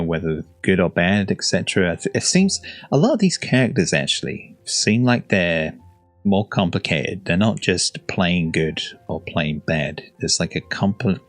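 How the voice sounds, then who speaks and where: A man's voice: low voice, dry recording. A man hosting a reaction video, thinking aloud in a casual, hesitant way with many fillers. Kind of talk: casual musing commentary.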